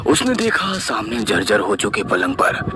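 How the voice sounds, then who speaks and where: A man's voice: on the low side, huge pitch swings, normal speed, background noise. A man narrating a scripted horror story in a suspenseful, dramatic storytelling style. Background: loud dramatic music and effects.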